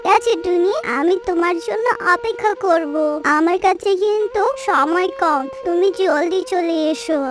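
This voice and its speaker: A woman's voice: extremely high pitch, normal speed, hardly any pauses, over music. A woman voicing a small, childlike, plaintive talking creature in a children's cartoon.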